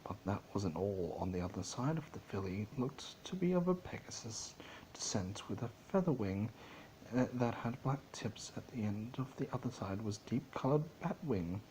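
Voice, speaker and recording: Male amateur story narrator, lowish voice, theatrical and a little halting, faintly noisy home recording. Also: lively intonation, a little mellow. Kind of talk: dramatic storybook narration of fan fiction.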